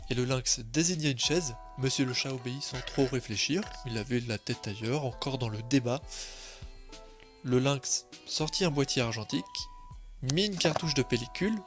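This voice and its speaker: A man's voice: medium pitch, normal speed, soft background music. A man narrating a scripted story in a composed, literary storytelling manner.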